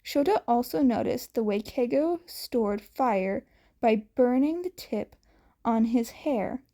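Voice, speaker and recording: A woman's voice: on the high side, lively intonation, takes it slowly, warm tone. A woman narrating a scripted story as a podfic reader, occasionally stumbling over the script.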